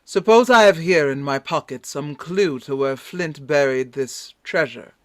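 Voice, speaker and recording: Man playing measured, slow-spoken gentleman doctor. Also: fairly high pitch, dry recording, studio quality. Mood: shrewd, curious. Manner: deliberate, formal. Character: measured, inquisitive gentleman doctor, shrewd and courteous. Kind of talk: radio play reading.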